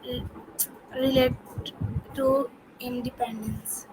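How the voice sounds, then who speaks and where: A woman student reading prepared interview questions, halting and restarting words as she goes. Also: high-pitched, flat delivery. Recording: noisy home ambience.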